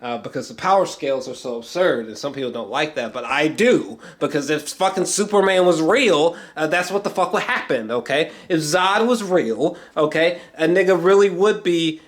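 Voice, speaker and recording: A man's voice: a little high, normal speed, few pauses. Rambling man giving a casual movie reaction. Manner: rambling, frequent throat clearing and repeated words; profane, slangy, emphatic. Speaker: film reviewer.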